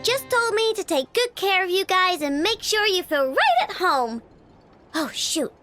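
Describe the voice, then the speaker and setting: A woman's voice: extremely high pitch, big pitch swings, measured pace, exaggerated voice. A woman voicing a perky, boastful young girl inventor in a video game, with a childlike, casual way of talking. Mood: chatty, eager. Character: excitable, snack-loving young girl inventor, proud household head. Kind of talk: animated video game voice acting. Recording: music behind the voice.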